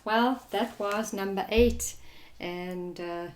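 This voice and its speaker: A woman's voice: mid-range voice, takes it slowly. A South African woman chatting casually as a guest on a talk show. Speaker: guest, massage therapy business founder. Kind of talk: casual chat. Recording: echoey, roomy sound.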